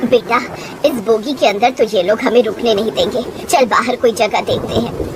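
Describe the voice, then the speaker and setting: A woman's voice: on the high side, normal speed, noisy background, close to an everyday voice. A woman voicing a worried, apologetic older mother-in-law in a cartoon moral story. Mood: worried, regretful.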